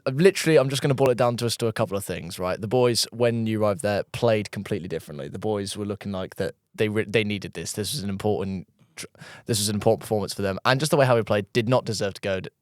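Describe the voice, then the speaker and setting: A young man co-hosting a casual fan podcast, excitable and animated as he tells it. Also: on the low side, quick pace, no room sound, clean studio sound. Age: young adult. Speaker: podcast co-host.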